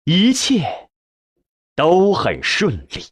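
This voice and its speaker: Guy reading a sci-fi audiobook, slow n expressive, raspy-ish husky voice. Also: high-pitched, no room sound. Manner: measured, expressive storytelling. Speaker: audiobook narrator. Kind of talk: scripted narration of a science fiction novel.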